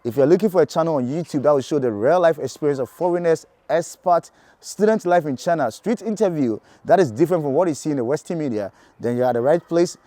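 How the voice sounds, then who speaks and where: A man's voice: on the high side, expressive, fast, warm tone, no room sound. Upbeat man hosting street interviews. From Ghana. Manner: upbeat, promotional. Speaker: YouTube host, street interviewer. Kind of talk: channel intro and street interview questions. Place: city street, outdoors.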